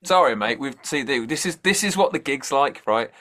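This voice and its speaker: A man's voice: medium pitch, animated, moderate pace, sharp tone. Joking man with an English accent. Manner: joking, talkative, self-mocking. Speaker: band frontman, guest. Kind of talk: casual interview chat.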